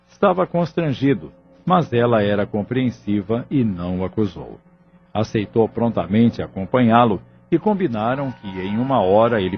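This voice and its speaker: A man narrating a scripted audio novel in a formal, measured manner. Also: on the low side. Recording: dull lo-fi audio with music underneath.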